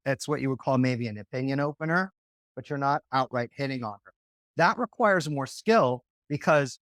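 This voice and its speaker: A man's voice: mid-range voice, fairly bright tone, no room sound. A man coaching on dating in a podcast interview, talking casually and often checking in with "right?".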